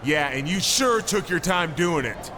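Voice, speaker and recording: An actor playing an angry champion wrestler, confrontational and accusing. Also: male voice, fairly high pitch, animated, measured pace. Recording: noisy ambient background.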